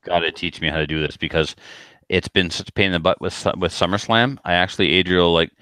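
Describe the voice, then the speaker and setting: Very deep-voiced man chatting casually. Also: steady tone, quick pace, pushed and bright, clear, resonant tone, no echo. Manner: self-deprecating. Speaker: podcast co-host and competitive shooter. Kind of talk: casual chat, telling anecdotes.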